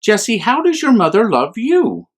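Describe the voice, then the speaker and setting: A man's voice: high-pitched, big pitch swings, normal speed, pitched above the performer's own voice, heavily acted. A man voicing a curious, innocent young polar bear cub in a children's storybook, with a wondering, questioning manner.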